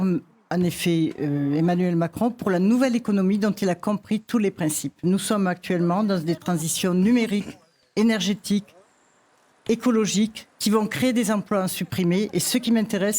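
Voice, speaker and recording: A woman's voice: fairly low pitch, darkish tone. A woman academic from France, an election candidate, speaking in a debate, hesitant and searching for words. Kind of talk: debate statement.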